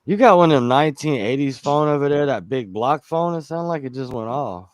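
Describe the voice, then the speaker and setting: A man's voice: medium pitch, animated, brisk. A man hosting a casual podcast, asking questions in loose, slangy talk. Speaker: podcast host.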